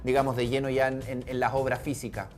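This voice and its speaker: A Chilean man with a medium-pitched voice hosts a conversational interview. He builds his remarks in halting pieces, pausing between phrases, with faint music in the background. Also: flat delivery, darkish tone. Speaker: interviewer and host.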